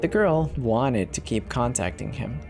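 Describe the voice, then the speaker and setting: A man's voice: medium pitch, lively intonation, a little slow, creaky, darkish tone. Male horror narrator over background music. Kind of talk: dramatic scripted narration.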